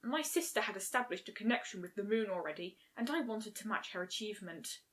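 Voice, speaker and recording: A woman voicing a regal, guilt-ridden mare princess in a story reading, speaking in a measured, grave, formal way. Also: medium pitch, animated, fairly bright tone, natural voice. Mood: tense, regretful. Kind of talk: fan fiction story reading.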